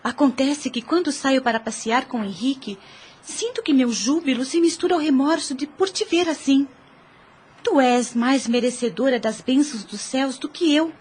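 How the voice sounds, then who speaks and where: An actress plays a caring young married sister in an audio drama. Her voice is high yet husky, with a Brazilian accent and earnest, formal phrasing. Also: normal speed, darkish tone. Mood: earnest, hesitant.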